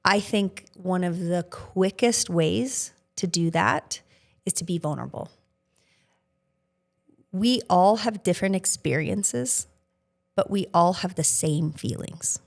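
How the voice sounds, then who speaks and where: A woman's voice: on the low side, slow, gravelly. A woman co-hosting a podcast, speaking casually and reflectively in an open, earnest manner. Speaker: podcast co-host answering questions.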